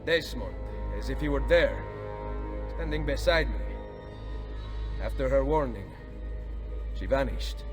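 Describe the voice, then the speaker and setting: A man acts out a confident Italian assassin nobleman in a dramatic game scene. He speaks English with an Italian accent at a middling pitch, measured and formal, with music clearly behind him. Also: animated, natural voice. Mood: solemn. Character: Ezio, composed confident Italian assassin nobleman in his prime. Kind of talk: dramatic video game acting.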